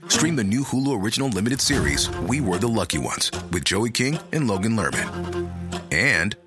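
Lively low-voiced male ad announcer. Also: moderate pace. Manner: upbeat, polished promo delivery. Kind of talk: scripted commercial read. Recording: ad read over loud music.

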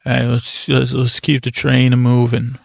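Male podcast host, heavy vocal fry, narrow pitch range, halting and wandering bedtime patter with a goofy streak. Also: completely flat delivery, a little mellow, no room sound, studio quality. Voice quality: gravelly, creaky voice. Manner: meandering, halting, goofy, self-interrupting. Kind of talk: rambling bedtime monologue.

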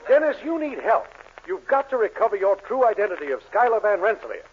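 An actor playing a scheming, greedy shopkeeper in a 1940s radio sitcom, fussy, insistent and sly. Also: male voice, sits high, animated, fast, heavy background noise. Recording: dull 1940s radio broadcast.

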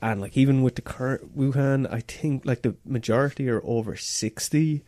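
A man's voice: mid-range voice, moderate pace, mellow, no room sound. A man in casual podcast chat, explaining things hesitantly with lots of fillers and restarts.

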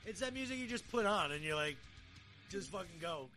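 A man's voice: high-pitched, animated, a little fast, pushed and bright, soft background music. A man hosting a podcast in a casual, jokey, slangy way with plenty of swearing. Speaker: podcast host.

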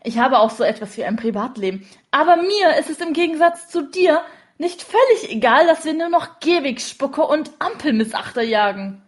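Woman playing a sharp young policewoman. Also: high voice, animated, normal speed. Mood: irritated.

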